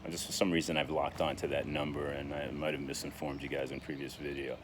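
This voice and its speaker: A man in his sixties talking casually in a vlog, halting with many fillers and restarts. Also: very deep voice, flat delivery, background noise. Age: 60s. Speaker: vlogger.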